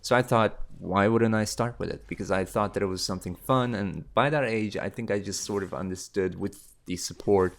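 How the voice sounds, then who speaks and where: Deep-voiced young man chatting casually. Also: normal speed, few pauses. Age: late teens. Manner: rambling, with many fillers and restarts. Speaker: YouTube creator. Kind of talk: casual Q&A chat.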